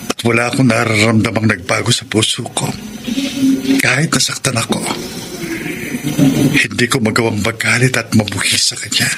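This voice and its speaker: A man acts out a lovelorn, forgiving young groom in a Filipino-accented radio drama. His medium-pitched voice is earnest and emphatic, stretching words for drama. Clear noise sits behind him on the recording. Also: natural voice. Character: devoted, forgiving young groom, wounded and pleading. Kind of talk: Tagalog radio drama acting.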